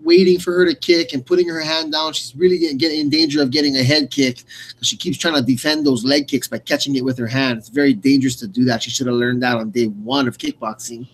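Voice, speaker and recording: A man's voice: mid-range voice, steady tone, fast talker, poor audio. A man working as a color commentator, giving analytical sports commentary in a conversational way.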